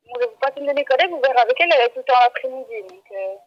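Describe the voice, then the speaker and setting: A woman with a high voice takes an unscripted customer call as a shop employee. She is blunt and a bit flustered, often repeating herself. The phone line makes her sound dull and muffled. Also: animated, racing. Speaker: bakery employee. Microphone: telephone.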